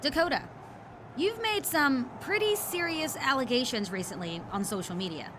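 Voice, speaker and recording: A woman's voice: high voice, expressive, normal speed, a bit bright, slight background noise. A woman playing a polite, hesitant backstage interviewer in a game.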